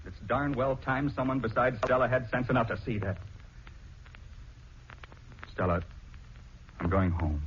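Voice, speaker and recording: An actor playing a blunt, emphatic middle-aged husband in a 1940s radio drama. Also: male voice, medium pitch, unhurried, long pauses, a little hiss or hum, lightly acted. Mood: firm, resolute. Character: plain-spoken middle-aged husband, forceful, protective of his wife. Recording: dull 1940s radio recording.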